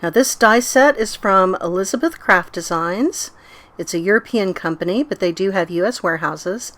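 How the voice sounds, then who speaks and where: A woman's voice: medium pitch, normal speed, fairly bright tone. Middle-aged woman hosting a craft tutorial. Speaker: host of a papercraft tutorial. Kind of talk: casual craft tutorial narration.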